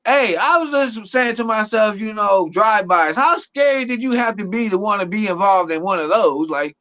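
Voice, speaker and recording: A man's voice: high voice, moderate pace, pushed and bright, lo-fi sound. A man in his forties chatting casually on a panel, slangy and emphatic, with plenty of swearing. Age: 40s. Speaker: panelist on an open panel show. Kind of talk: casual group chat.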